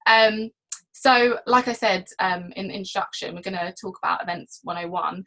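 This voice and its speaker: Woman, mid-pitched voice, reporter interviewing, hosting on a video call. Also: moderate pace, bright, forceful tone, low-quality recording. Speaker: interviewer, trade magazine reporter.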